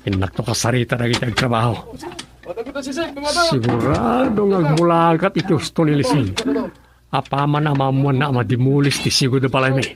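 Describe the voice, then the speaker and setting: A man playing an excited husband who boasts about building a big new house in a radio drama. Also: medium pitch, brisk, a crowd in the background, natural voice. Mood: instructing. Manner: eager and boastful.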